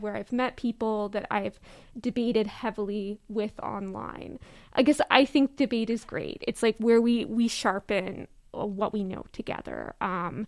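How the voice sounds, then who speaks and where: A woman's voice: fairly high pitch, smooth. A woman in a casual discussion, telling stories in a chatty, rambling way with many fillers. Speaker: guest, online group moderator. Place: remote call.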